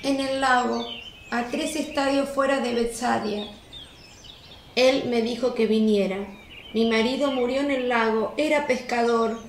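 Woman acting a high-voiced sorrowful young widow. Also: fairly even intonation, a little slow. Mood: sad, meek. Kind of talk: Spanish-language radio play acting. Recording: roomy echo, faint birdsong noise.

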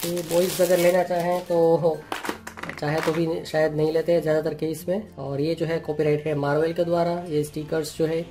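Flat-voiced man explaining with filler words. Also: a little high, moderate pace. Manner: plain explanatory talk with many "jo hai" fillers. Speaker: channel host reviewing products. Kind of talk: product unboxing and review. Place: indoors at a table. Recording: clear music playing underneath.

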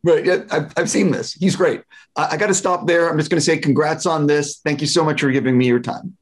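A man's voice: on the high side, fairly even intonation, a little fast, husky. A man in his forties conducting a casual interview over a video call from a room at home. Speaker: entertainment interviewer. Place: small room at home, indoors.

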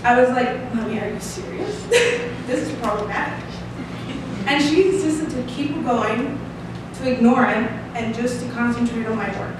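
A young woman from Costa Rica speaks English with a Costa Rican Spanish accent. She reads a prepared speech earnestly, her voice a touch high. She sounds distant from the mic in a very echoey room with a steady hiss underneath. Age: 20s. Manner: earnest, reading aloud with hand gestures, light laughs. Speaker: student speaker. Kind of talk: prepared showcase speech read from paper. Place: small room, standing at a lectern. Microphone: stand mic at some distance.

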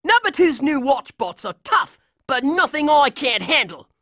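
A woman's voice: high-pitched, sing-song, measured pace, fairly bright tone, no room sound, studio quality, exaggerated voice. A woman voicing a scrappy, tough-talking, hot-headed boy hero in a cartoon video game, brash and combative. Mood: cocky, determined.

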